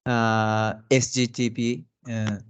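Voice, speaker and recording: A man speaks in an ordinary mid-range voice as he answers questions in an online webinar. His non-native English is halting and packed with fillers and restarts as he hunts for words. The sound is that of a plain video call. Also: mid-range voice, level tone, clean tone. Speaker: company representative presenting jobs in Japan.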